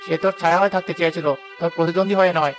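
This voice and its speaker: A man's voice: high-pitched, steady tone, very fast, lightly acted. A man playing a frank, wise village uncle who speaks earnestly in a moral-story cartoon. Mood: solemn. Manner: earnest, admonishing. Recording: instrumental music under the voice.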